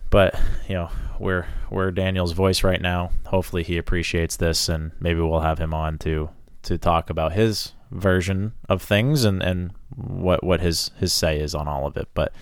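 Young male podcast co-host, very deep smooth voice, laid-back and wry. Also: steady tone, normal speed, few pauses, dry recording, clean studio sound. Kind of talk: casual podcast chat.

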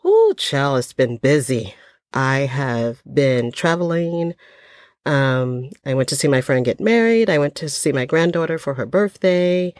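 A woman's voice: very deep voice, big pitch swings, unhurried, a little mellow, no echo, clean studio sound. An older woman hosting her own podcast in a casual, folksy chat, a little stuffy from a cold, with plenty of ums. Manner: chatty, folksy, lots of ums. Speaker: podcast host.

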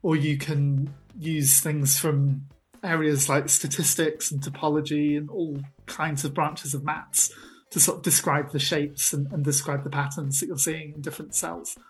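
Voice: medium pitch, little pitch movement, normal speed, soft background music, rough recording. A speaker in casual academic chat about applying mathematics to images, hesitant, with frequent restarts and self-deprecating humour. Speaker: mathematics researcher, co-host.

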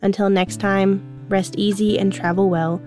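A woman in her thirties hosting her own podcast, reading a reflective monologue in a gentle, earnest, measured way. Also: mid-range voice, level tone, faint music behind. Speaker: podcast host.

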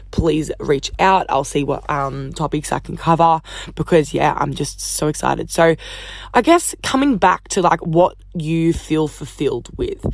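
A woman hosting a casual solo podcast, chatty and upbeat, swearing casually, her voice croaky from talking all day. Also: low-pitched, no room sound. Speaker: podcast host.

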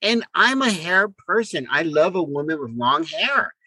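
A man's voice: on the high side, very animated, normal speed, sharp tone, husky, no room sound. An older man co-hosting a casual podcast chat, stammering and repeating words, often exclaiming. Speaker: podcast co-host.

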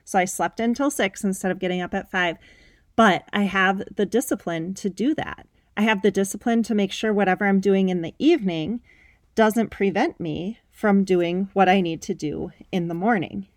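A woman's voice: medium pitch, no echo. Upbeat motivational woman in her thirties. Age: 30s. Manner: upbeat, emphatic, conversational. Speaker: podcast host. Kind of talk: motivational solo podcast talk.

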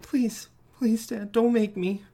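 A man voicing a frightened young boy who pleads tearfully with his father in a high, strained voice. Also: fairly even intonation, slow, heavy background noise. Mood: terrified.

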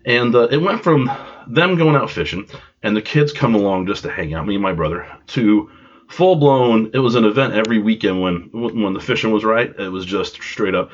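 A man's voice: fairly low pitch, lively intonation, moderate pace. A congested man with a cold hosting a podcast, telling a rambling, self-deprecating story with side tangents. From Long Island, New York. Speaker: podcast host. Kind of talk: casual storytelling monologue. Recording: dull lo-fi home recording.

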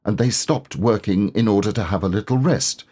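A man reading a children's story aloud as narrator, in a measured, careful and expressive way. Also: on the low side. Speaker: audiobook narrator, voice actor.

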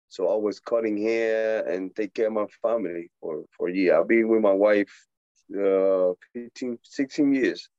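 A man in his forties from Puerto Rico, with a Puerto Rican Spanish accent, speaking as a guest in a casual interview, animated, laughing often, with halting English. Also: lowish voice, dry recording, lo-fi sound. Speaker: boxer guest.

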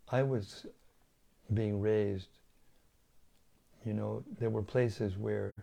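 An older man, a spiritual teacher, giving a slow devotional talk on scripture and often repeating or restarting his words. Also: on the low side, vocal fry, mellow.

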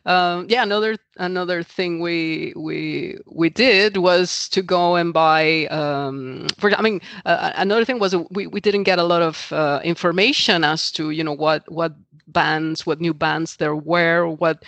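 Middle-aged man from Costa Rica, gravelly tenor, halting guest chat in a dry, close sound. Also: fairly high pitch, a bit bright, dry recording. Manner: hesitant, many fillers and restarts, laughs often. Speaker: podcast guest. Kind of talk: casual interview chat.

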